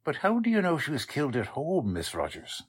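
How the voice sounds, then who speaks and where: An actor playing a gruff, folksy police sergeant in 1940s radio drama style. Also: male voice, fairly high pitch, huge pitch swings, brisk, full character voice. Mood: puzzled. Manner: folksy, colloquial, blustering. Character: gruff folksy male police sergeant, bossy yet easily baffled.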